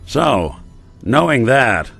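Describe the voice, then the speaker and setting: A man's voice: medium pitch, sing-song, drawn-out words, creaky, a bit bright, slightly rough, soft background music, exaggerated voice. An actor playing a weary male synth private detective with a dry, laid-back wit, in video game dialogue. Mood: wry.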